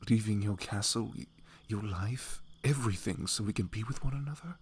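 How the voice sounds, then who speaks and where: A man's voice: fairly low pitch, slight background noise. A man playing a devoted, protective young knight in love, tender and hesitant, in a romantic fantasy ASMR roleplay.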